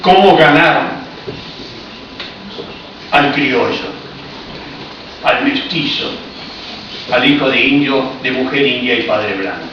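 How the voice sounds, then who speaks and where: A man's voice: medium pitch, takes it slowly, long pauses, fairly bright tone, recorded from a distance. Argentine man lecturing in an echoey hall. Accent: Argentine Spanish. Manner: reflective, explanatory, with ironic asides. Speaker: speaker giving a public talk. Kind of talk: history lecture. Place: cultural hall in Paris. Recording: echoey, muffled, noisy room recording from afar.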